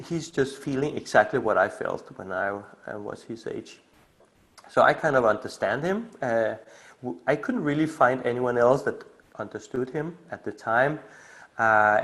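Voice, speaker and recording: A man from Denmark with a clear Danish accent gives an informal presentation on a video call. He speaks at an ordinary middle pitch, hesitating often and filling gaps with small sounds. He sounds middle-aged, easygoing and direct. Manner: relaxed and hesitant, many filler sounds, laughs lightly. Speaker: community initiator presenting on a call. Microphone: video call microphone.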